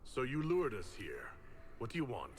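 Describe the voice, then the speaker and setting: Direct game hero in long reverb. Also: male voice, medium pitch, huge pitch swings, moderate pace, a little hiss or hum. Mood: questioning. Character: questioning male hero of a fantasy quest. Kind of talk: video game acting.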